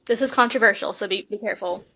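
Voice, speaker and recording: A woman co-hosting a podcast in casual, chatty conversation, informal and animated, with frequent asides. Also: mid-range voice, fast talker. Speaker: podcast co-host. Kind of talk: casual chat about TV shows.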